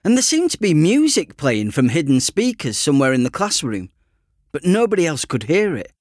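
A man reading an audiobook in the first person as a teenage boy, conversational, self-deprecating and expressive. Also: a little high, normal speed, no echo, clean studio sound. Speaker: author reading his own audiobook.

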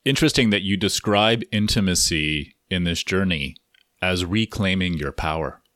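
A man hosting an interview podcast, asking measured, reflective questions. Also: deep voice, fairly bright tone, no echo, studio quality. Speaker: podcast host.

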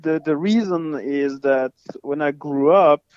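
A man's voice: a little high, measured pace, clear, resonant tone, no echo, muffled recording. A man with a Swiss French accent, a native French speaker, talking casually as an interview guest with many hesitant fillers. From French-speaking Switzerland. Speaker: guest forensic scientist.

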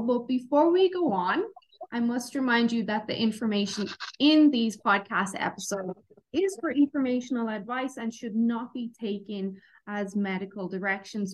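A woman's voice: on the high side, poor audio. A woman with an Irish accent, hosting an interview podcast and introducing her guest.

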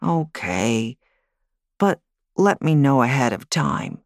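A woman's voice: a bass voice, sing-song, slow pace, dry recording, clean studio sound, exaggerated voice. A woman voicing a skeptical young boy in an audiobook, grudging and unimpressed. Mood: wary. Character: skeptical young boy, grandson wary of kissing stories. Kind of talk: audiobook character voice.

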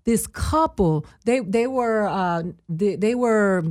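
A woman's voice: mid-range voice, expressive, slow, darkish tone. A woman, co-hosting a casual group chat and telling profane stories with laughter.

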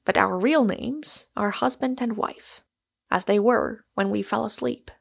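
A woman's voice: medium pitch, unhurried, gravelly, breathy edge, heavily acted. A woman voicing an exuberant, argumentative Irishman, a storybook suitor who declaims with great emphasis. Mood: earnest, insistent.

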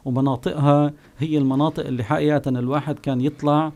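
A man with a Palestinian Bedouin accent, a guest recalling his home village, pausing often with long filler sounds. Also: on the high side, level tone, a little mellow, muffled recording. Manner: unhurried storyteller, pauses often with drawn-out "ah" fillers. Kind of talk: reminiscing oral history on a call-in show.

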